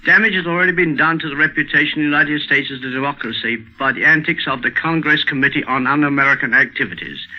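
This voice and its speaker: A man, a newspaper correspondent, reading aloud in a formal 1940s radio style. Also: fairly high pitch. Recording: muffled 1940s radio recording.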